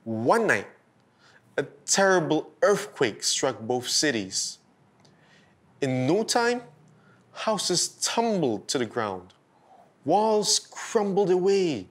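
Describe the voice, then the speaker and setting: A man hosting and narrating a scripted bedtime story for children in a deliberate, expressive storytelling style. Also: on the high side. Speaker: storyteller host.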